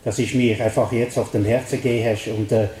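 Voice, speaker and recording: Swiss french guy speaking german, earnest and halting, dark mellow voice, echoey hall. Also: mid-range voice, fairly even intonation. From French-speaking Switzerland. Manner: earnest, halting with many fillers. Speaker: guest speaker.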